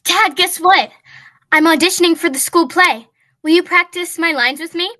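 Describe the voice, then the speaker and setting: A female performer playing an excited, theatrical schoolgirl daughter in an audio play. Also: very high-pitched, normal speed.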